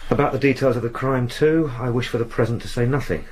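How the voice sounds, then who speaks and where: An actor playing a dutiful police sergeant who talks formally and respectfully in a radio drama. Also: male voice, medium pitch, fast, breathy edge, close to an everyday voice, low-quality recording.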